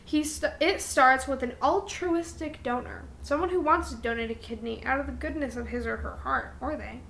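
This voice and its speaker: A woman's voice: high-pitched, moderate pace, creaky, a bit bright. A young woman co-hosting a podcast, chatting casually with a friend and explaining things informally, acting out quotes as she goes. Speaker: podcast co-host. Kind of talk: casual chat between friends. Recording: roomy echo, faint background noise.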